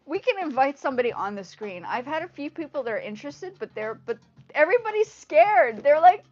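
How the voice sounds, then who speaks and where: High-pitched woman, native Indonesian speaker, dull lo-fi livestream audio, rambling chatty host, repeated phrases. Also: very animated, brisk, darkish tone. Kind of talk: casual livestream chat with viewers. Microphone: earpiece mic on a phone. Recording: dull lo-fi livestream audio through earpiece mic.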